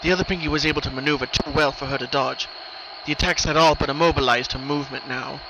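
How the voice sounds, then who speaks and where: Man narrating dramatically over clear background noise. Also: fairly even intonation, fast talker, bright, forceful tone. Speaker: narrator of horror fan fiction. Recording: noisy recording, rumbling ambient background.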